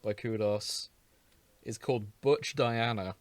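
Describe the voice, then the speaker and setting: A man's voice: mid-range voice, takes it slowly, no echo. A man co-hosting a podcast, chatting casually about fan fiction. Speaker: podcast co-host.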